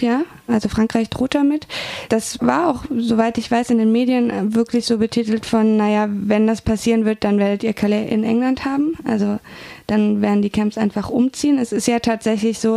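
A woman answers as an interview guest in an informal way, correcting herself as she thinks aloud. Her voice is mellow and fairly flat, with some creak, in a dry, close recording. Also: a little high, no echo. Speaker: interview guest reporting on refugee camps.

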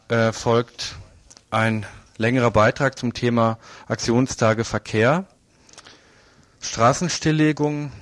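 A man's voice: mid-range voice, dry recording. A man hosting a radio news magazine, presenting in a semi-scripted live style.

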